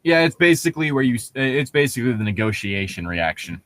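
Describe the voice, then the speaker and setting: A man's voice: medium pitch, very animated, quick pace, a bit bright, no echo. A man reading a story aloud in a casual way, breaking off to quip about it.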